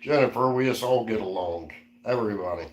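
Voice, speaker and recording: A man's voice: lowish voice, brisk, roomy sound. A man in his forties co-hosting a casual live-stream chat, easygoing and reading out viewer comments. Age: 40s. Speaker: co-host.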